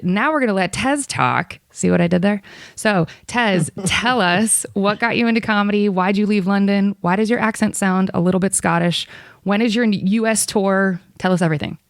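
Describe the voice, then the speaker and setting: A woman hosting a podcast in a playful, teasing way, hyping up her guest. Her voice sits in a middle pitch and is recorded close and dry, with no room sound. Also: brisk, no echo. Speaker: podcast host. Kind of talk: reading a guest introduction, then casual chat.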